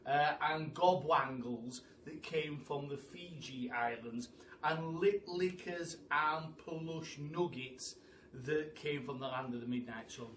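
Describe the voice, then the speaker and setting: A man reading a children's story aloud as a storyteller, in an animated way that speaks straight to his listeners. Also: a little high. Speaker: teacher storyteller. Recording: echoey room, distant mic, faint noise.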